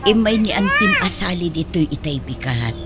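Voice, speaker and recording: Heavy noise surrounds an actress playing a patient older mother in a radio drama. Her Ilocano-accented delivery is animated and swooping, always soothing and placating. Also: fairly low pitch, moderate pace. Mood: reassuring.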